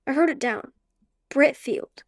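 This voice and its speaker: A woman's voice: sits high, a little slow. A woman voicing a young orphan boy on the run in a radio play, earnest and urgent.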